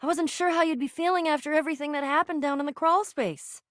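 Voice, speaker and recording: A woman's voice: high voice, fast, fairly bright tone, no room sound, clean studio sound. A woman voicing an eager, quest-loving young female adventurer in a video game, slangy and prone to stammering. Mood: flustered, hesitant. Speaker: voice actor in a dating game. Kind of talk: scripted video game character lines.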